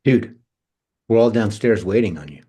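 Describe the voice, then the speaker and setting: A man's voice: on the low side, expressive, normal speed, mellow, breathy edge. A man acting a laid-back, breezy male slacker in a casual, slangy way at a script read. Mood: breezy teasing. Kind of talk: table read of a film script.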